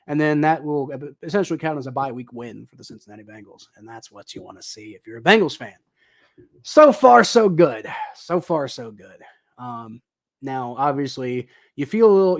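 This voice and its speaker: A young man doing casual live football commentary, thinking out loud with lots of ums and uhs, his voice a little dry and raspy. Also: medium pitch. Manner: rambling, thinking aloud with many ums and uhs. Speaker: livestream host commentating football.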